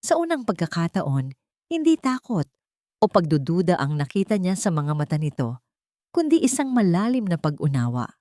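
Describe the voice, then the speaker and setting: A woman's voice: lowish voice, big pitch swings, moderate pace, dark tone, dry recording, clean studio sound. A woman reading a dramatic romance story as a scripted narrator. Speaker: audiobook-style story narrator.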